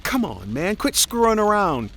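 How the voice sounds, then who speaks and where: A man's voice: sits high, big pitch swings, moderate pace, fairly bright tone, slight background noise. An actor playing an anxious man in an audio drama, urging others out of danger in colloquial speech. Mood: urgent, wary. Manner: urgent, colloquial.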